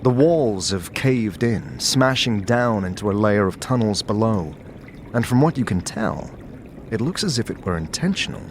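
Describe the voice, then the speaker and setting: Deep-voiced, expressive male storyteller. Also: moderate pace, slight background noise. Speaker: narrator and lead player. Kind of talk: dramatic second-person storytelling narration.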